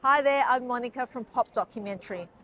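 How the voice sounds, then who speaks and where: A woman's voice: on the high side, fast talker, over loud music. A woman, hosting a group interview and asking upbeat, enthusiastic questions. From Australia. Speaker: host.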